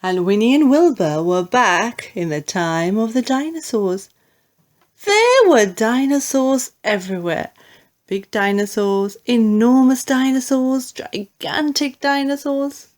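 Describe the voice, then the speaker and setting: A woman narrating a children's storybook in an expressive, deliberate way. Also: fairly high pitch. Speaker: audiobook narrator.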